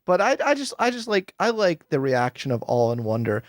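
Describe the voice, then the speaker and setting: A man's voice: on the high side, very animated, brisk, no room sound, studio quality. A man in his forties, a guest psychologist, talking animatedly and enthusiastically with lots of fillers and laughter. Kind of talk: casual interview chat. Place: home room with bookshelves. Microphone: headphones with boom microphone.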